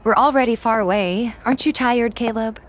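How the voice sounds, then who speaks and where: A woman voicing a shy, soft-hearted young heroine in a game fan dub, earnest in both narration and dialogue. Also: a little high, fairly quick, lightly acted. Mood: reflective narration, then gentle.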